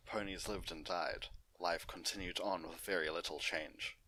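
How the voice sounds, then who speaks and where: A man narrates an amateur story reading in a low-pitched voice. His tone is bright and forceful, and he delivers the prose as a host reading aloud to listeners. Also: poor audio. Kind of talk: amateur fan fiction narration.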